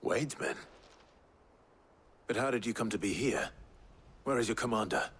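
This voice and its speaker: A man's voice: lowish voice, normal speed, a bit bright, husky. A man playing a stoic, dutiful young nobleman warrior in a video game, with a terse, commanding manner. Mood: stern, questioning. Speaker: lead character in a video game. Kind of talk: dramatic cutscene acting. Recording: music behind the voice.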